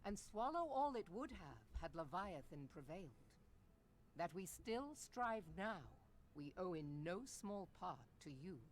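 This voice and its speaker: Stately, expressive game admiral. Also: female voice, fairly low pitch, unhurried, a little hiss or hum. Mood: solemn, grateful. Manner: formal, archaic, stately speech. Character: stern, grateful female admiral of a seafaring nation. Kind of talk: video game cutscene acting.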